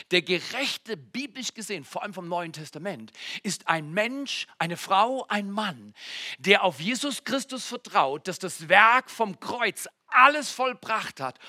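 Male pastor in his 40s, high, very bright voice, dry sound, swings between loud exclamations and near-whispers. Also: dry recording. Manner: addresses the congregation directly with jokes and questions. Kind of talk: sermon. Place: church.

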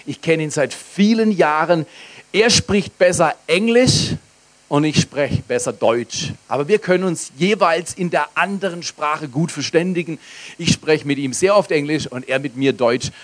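A man's voice: on the high side, unhurried. A man, the host pastor, introducing a guest to his church in an emphatic announcing manner. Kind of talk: church welcome speech.

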